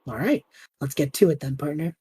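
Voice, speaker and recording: A man playing a wary time traveler in a scripted audio drama, with a husky voice. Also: a little high, fairly quick, very noisy, close to an everyday voice. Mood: wary, resolved.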